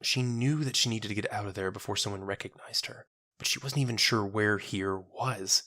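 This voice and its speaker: A man narrates a scripted drama in a lowish voice with a bright, forceful edge. The sound is close and dry, as in an audiobook. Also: moderate pace, dry recording.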